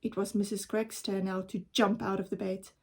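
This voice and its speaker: A woman reading a children's story aloud as a teacher would, in a measured, expressive storytelling manner. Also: mid-range voice, husky.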